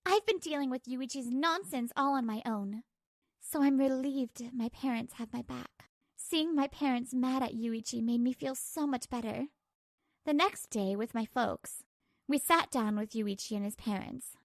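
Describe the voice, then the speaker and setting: Female lead narrator, scripted manga story: high voice, creaky edges, close dry studio-style sound. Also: a little slow, no room sound.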